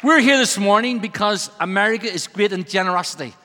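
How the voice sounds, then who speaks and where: A middle-aged man with an Irish accent preaches with a high, bright, ringing voice. He jokes and then rises to emphatic calls. His words echo with the long reverb of a big church hall. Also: a little fast, some chatter behind, a little hiss or hum. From Ireland. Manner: joking, then rousing and emphatic. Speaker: guest preacher, missionary. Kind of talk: humorous sermon. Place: large church sanctuary.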